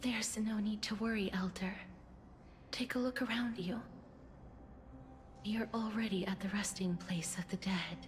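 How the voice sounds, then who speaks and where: An actress playing a gentle, solemn young alchemist in a video game, speaking softly and formally in measured phrases. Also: female voice, mid-range voice, steady tone, slight background noise, close to an everyday voice. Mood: gentle, reassuring. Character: gentle solemn young woman, alchemist guiding souls of the dead. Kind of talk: scripted video game voice acting. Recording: game audio with music behind.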